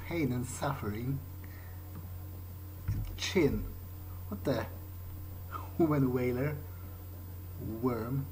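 A man's voice: medium pitch, a little mellow, slight background noise, close to an everyday voice, poor audio. A man roleplaying a brooding, wry vampire in a video game playthrough, musing aloud in a hesitant, muted way. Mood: wry, resigned. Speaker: let's player. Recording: game music behind voice.

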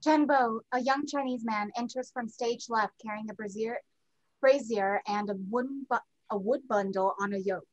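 A woman's voice: a little high, normal speed, dry recording, poor audio. A woman narrating stage directions in a scripted play reading. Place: home room, video call.

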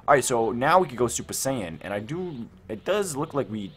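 A man's voice: medium pitch, big pitch swings, moderate pace, a bit bright. A teenage male with a Canadian accent gives casual, rambling gameplay commentary, repeating himself for emphasis. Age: teens. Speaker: gaming YouTuber.

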